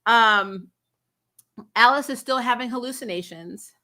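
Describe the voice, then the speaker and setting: A woman's voice: on the high side, a little slow, bright, forceful tone. A woman chatting casually as the host of a fan review show, sarcastic and incredulous, blunt with swearing and prone to laughing as she talks. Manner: laughs often while talking. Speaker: host of a TV superhero recap show.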